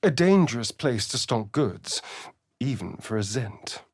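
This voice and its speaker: An actor playing a suave, sardonic, preening vampire rogue in a video game, with an arch, theatrical drawl and dramatic pauses. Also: male voice, mid-range voice, big pitch swings, dry recording, studio quality. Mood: wry, sardonic. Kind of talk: video game character voice acting.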